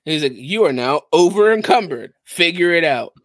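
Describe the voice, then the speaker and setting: A man's voice: fairly high pitch, animated, brisk, no room sound. A man who hosts a podcast, chatting casually about video games and often repeating his phrases. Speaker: podcast host.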